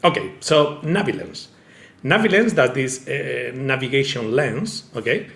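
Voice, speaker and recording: Spanish-accented male presenter, non-native English, halting delivery with fillers, roomy sound on a video call. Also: mid-range voice, lively intonation, creaky, a bit bright, a little hiss or hum. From Spain. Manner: halting, fillers like okay and eh, searches for words. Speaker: tech company founder presenting. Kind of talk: online presentation.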